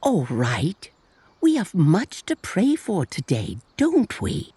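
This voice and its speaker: Woman playing a slow, husky, gracious grandmother. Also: fairly low pitch, wildly swooping pitch, warm tone, no echo, full character voice. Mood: warm greeting. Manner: genteel, courteous. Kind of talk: motion comic voice acting.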